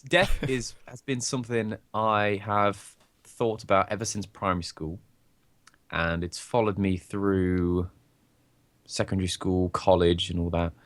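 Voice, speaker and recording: A croaky-voiced young man chatting openly and rambling as co-host of a casual podcast. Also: low voice, fairly even intonation, unhurried. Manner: rambling, open, laughs often. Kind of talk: casual chat.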